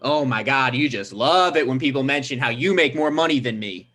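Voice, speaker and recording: A man's voice: mid-range voice, brisk, pushed and bright. A man playing a touchy, aggrieved mayoral candidate and grown son in a comedic table read, earnest and exasperated. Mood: hurt, irritated.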